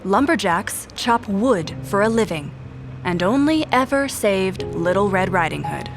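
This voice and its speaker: A woman playing a guarded, sharp-tongued, defiant special agent in an audio drama. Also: mid-range voice, animated, measured pace, over music. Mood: guarded, dry. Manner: cutting, defiant.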